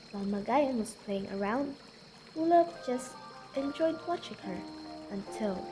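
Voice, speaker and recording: A woman's voice: mid-range voice, poor audio. Woman narrating a legend over music. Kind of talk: scripted storytelling narration for an amateur radio drama.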